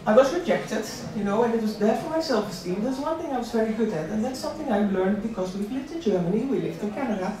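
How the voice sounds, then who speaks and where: A woman's voice: a little high, animated, fast, few pauses, crowd noise. A middle-aged woman from the Netherlands giving a personal, conversational motivational talk to an event, repeating key words for emphasis. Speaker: invited speaker at a career event. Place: large room at an employment event. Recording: echoey hall, distant mic, audience noise.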